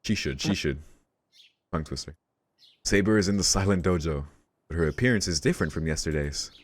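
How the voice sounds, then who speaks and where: A man's voice: low-pitched, expressive, fairly quick. A man reading a visual novel aloud as a let's play host, with casual reactions and game music behind him. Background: visual novel soundtrack.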